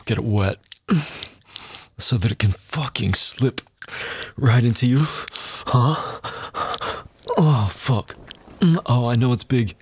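A man's voice: slow, long pauses, breathy edge. A man performing an adult audio roleplay in a dominant, taunting tone, with heavy breaths and muttered exclamations between lines. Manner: dominant and taunting, low intimate delivery.

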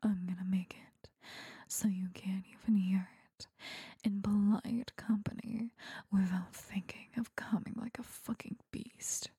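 A woman whispering a commanding audio roleplay as a domineering female teacher, in a breathy voice. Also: medium pitch, mellow, no echo, studio quality.

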